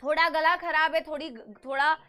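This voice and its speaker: A woman's voice: high-pitched, fairly even intonation, moderate pace, sharp tone. A young woman teaching a live online biology class with a slightly sore throat, who coaxes her students, calls them "beta" and mixes English phrases into her lecture.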